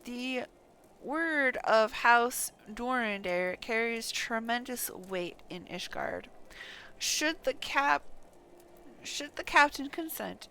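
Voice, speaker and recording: A woman livestreaming a game playthrough, reading dialogue aloud in a theatrical, deliberate way with muttered asides. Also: fairly high pitch, pushed and bright, a little hiss or hum, low-quality recording. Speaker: game streamer.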